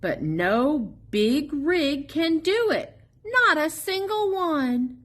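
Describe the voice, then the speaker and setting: A woman reading a children's picture book aloud expressively, in a sing-song, drawn-out way with playful emphasis on sound words. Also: sits high, very animated, a bit bright. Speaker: storybook reader at a public library.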